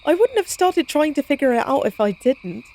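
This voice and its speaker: Woman playing a high-voiced, flippant young-sounding vampire lady. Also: expressive, moderate pace, dark tone. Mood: enthusiastic. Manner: flippant, teasing, wry, casual. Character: playful, cocky female vampire lab partner, science-obsessed, teasing. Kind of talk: intimate audio roleplay, conversational acting.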